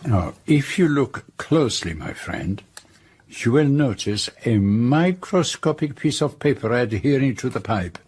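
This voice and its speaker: An actor playing a courteous, self-assured Belgian detective with a French-speaking accent and precise, formal speech in a radio drama. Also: male voice, medium pitch, expressive, measured pace, darkish tone, slightly rough. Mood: shrewd, polite. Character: courteous, self-assured foreign detective, older dapper man. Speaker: lead detective and narrator. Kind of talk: radio drama acting and narration.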